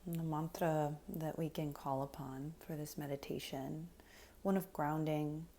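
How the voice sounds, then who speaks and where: Female meditation guide, soft and breathy with a dark, relaxed tone, soothing and unhurried, faint noise in the background. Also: low voice. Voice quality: soft, breathy, often near a whisper. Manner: soothing, unhurried, gentle instruction with long pauses.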